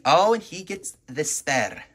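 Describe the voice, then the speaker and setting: A man doing casual, excitable gameplay commentary as a video host, reacting aloud as he plays. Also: a little high, slow, slightly rough. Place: indoors at home, filming a TV.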